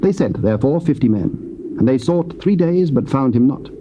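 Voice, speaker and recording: A man's voice: mid-range voice, lively intonation, moderate pace. A man with a British accent narrating dramatized scripture in formal, solemn archaic English. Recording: dull, noisy old cassette tape.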